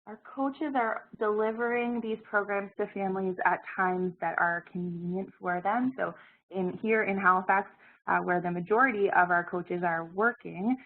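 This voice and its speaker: A woman's voice: medium pitch, moderate pace. Woman with a scratchy cold voice, phone-like. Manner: hesitant, many fillers and restarts. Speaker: presenter, program director. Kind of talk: information session presentation. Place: Halifax, Canada. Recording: phone-like narrow audio.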